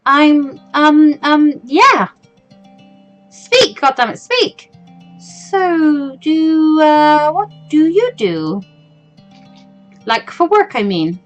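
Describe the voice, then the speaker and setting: A woman's voice: sits high, very animated, drawn-out words, long pauses, muffled recording, voice pushed up, full character voice. A woman voicing an anxious, awkward fourteen-year-old schoolgirl from a visual novel, hesitant and self-doubting. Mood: panicking. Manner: hesitant, stammering, over-thinking. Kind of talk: visual novel character reading. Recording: music behind the voice.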